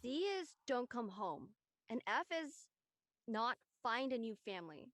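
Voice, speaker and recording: Uptight high school girl voiced by a woman, blunt, earnest, a bit high pitched. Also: animated, unhurried, fairly bright tone, no room sound. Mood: curt. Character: uptight, driven teenage girl, blunt sister.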